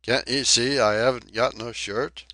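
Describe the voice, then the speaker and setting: A man's voice: medium pitch, fairly even intonation, a little slow, sharp tone, dry recording, voice pushed up. A man reading an audiobook voices a ragged, impudent sailor with a Cockney accent, whining and indignant, with dropped aitches.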